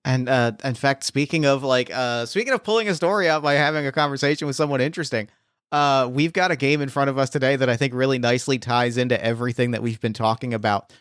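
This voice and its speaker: A man's voice: medium pitch, quick pace, a bit bright, smooth, dry recording, studio quality. A man hosting a podcast as game master, talking casually and often restarting as he searches for words. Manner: rambling, gropes for words with many restarts.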